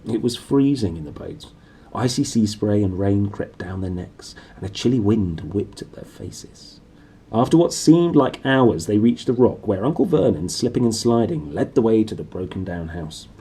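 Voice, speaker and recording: A man narrates a children's story with very lively, swooping intonation. His voice is mellow and somewhat husky, and he reads in an animated, dramatic storytelling style. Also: lowish voice, normal speed. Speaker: storybook reader.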